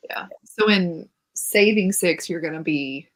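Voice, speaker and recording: A woman's voice: on the low side, measured pace, poor audio. A woman chatting casually about books as a co-host on a show. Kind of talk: casual book chat.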